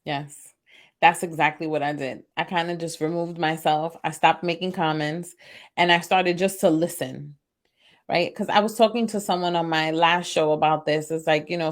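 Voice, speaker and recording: Encouraging woman podcast host. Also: deep voice. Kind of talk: casual interview chat.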